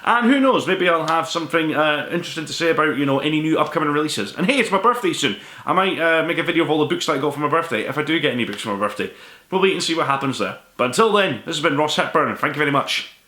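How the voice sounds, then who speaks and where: A man's voice: a little high, expressive, fast, pushed and bright. A man in his twenties or thirties giving a casual, rambling book review to camera as host of his own show. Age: 20s to 30s. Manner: rambling, self-deprecating, informal. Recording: echoey room.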